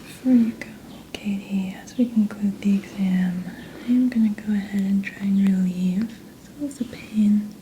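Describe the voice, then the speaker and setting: A woman speaking in a breathy whisper, gently and soothingly, as a medical examiner in an ASMR role-play. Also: medium pitch, steady tone, normal speed, slight background noise, low-quality recording.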